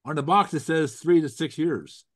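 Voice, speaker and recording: A man's voice: a little high, normal speed. A man with a froggy voice from a cold hosts a casual show over a video call. He rambles, restarts his sentences and drops corny puns. The sound is close and dry, with no room echo. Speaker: host. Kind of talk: casual chat with jokes.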